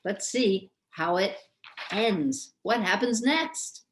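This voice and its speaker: A woman hosting a children's story time, giving gentle commentary and prompts to young listeners. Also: mid-range voice, expressive, slow pace, slight background noise.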